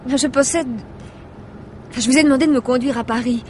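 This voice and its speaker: A woman's voice: sits high, a little fast, slight background noise, close to an everyday voice. A woman playing an anxious, hesitant wife confiding in her driver in a French radio mystery drama, breaking off and restarting her sentences. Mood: hesitant pleading.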